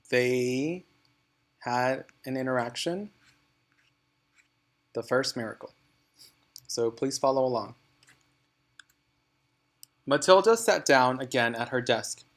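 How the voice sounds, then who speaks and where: Male read-aloud narrator, medium pitch, expressive, deliberate, emphatic, dramatic storybook delivery. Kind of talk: expressive storybook reading for students.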